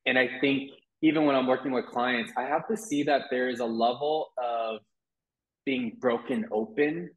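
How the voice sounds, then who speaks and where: A man's voice: mid-range voice, steady tone, normal speed, phone-quality sound. Candid man with Mandarin as first language. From Taiwan. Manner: candid, reflective, many restarts and "like". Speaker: wellness guest. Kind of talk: interview conversation. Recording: roomy echo.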